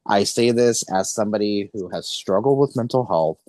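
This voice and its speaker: A young man with a low-pitched voice, recorded close and dry, hosts a conversational podcast. He speaks earnestly, placing deliberate emphasis on his phrases like an interviewer with a point to make. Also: a little mellow, no echo.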